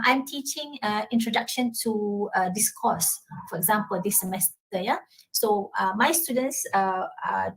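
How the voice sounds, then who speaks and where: A woman's voice: on the high side, rough recording. A woman lecturer with a Malaysian English accent, answering audience questions at a talk and checking understanding with frequent tag questions. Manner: frequent tag questions like "yeah?" and "all right?". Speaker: university lecturer.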